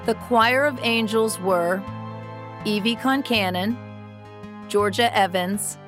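A woman narrating a scripted Bible story in a measured, reverent storytelling delivery. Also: medium pitch, music in the background.

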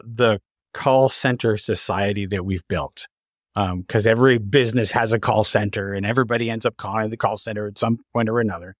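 A man speaking as a podcast host in a casual interview, with halting, searching phrasing. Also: medium pitch, no room sound, clean studio sound.

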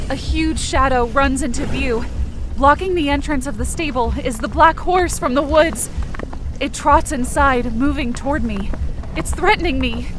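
A woman narrating first-person horror fiction in the present tense, with dramatic, urgent storytelling. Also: high-pitched. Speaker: podcast writer and performer. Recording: loud sound effects and noise behind.

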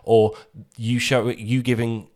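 A man co-hosting a casual business podcast, with a middling pitch for a man. He explains his points with emphasis and often slows down to make key ideas land. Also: little pitch movement, a little mellow. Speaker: podcast co-host.

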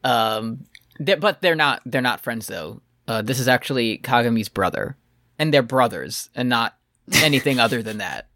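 A man's voice: medium pitch, animated, normal speed, sharp tone, dry recording, studio quality. A man co-hosting a casual comedy recap, joking and sarcastic, often acting out quotes as he talks. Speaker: podcast co-host.

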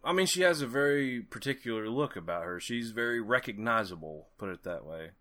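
A man co-hosting a casual movie podcast, animated and profane, laughing a lot. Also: mid-range voice, fairly quick. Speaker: podcast co-host. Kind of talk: casual movie-review chat.

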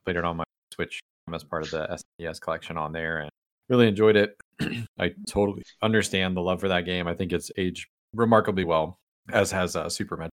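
Male podcast host, very low voice, dry close sound, loose easygoing delivery. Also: a little fast, dry recording. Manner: relaxed, rambling, joking. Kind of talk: casual chat.

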